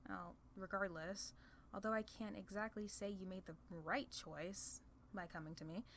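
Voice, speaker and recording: A woman's voice: medium pitch, fairly even intonation, moderate pace, a little hiss or hum, natural voice. A woman voicing a playful, proud demon ruler of hell in a casual, sardonic ASMR roleplay. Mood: pleased, gracious. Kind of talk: ASMR roleplay audio drama.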